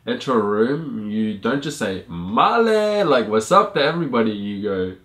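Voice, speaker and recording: A man's voice: medium pitch, big pitch swings, moderate pace, fairly bright tone. A man in his thirties co-hosting a casual question-and-answer vlog, laid-back and jokey, laughing often. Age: 30s. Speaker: vlogger, co-host with partner. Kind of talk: casual Q&A chat. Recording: echoey room.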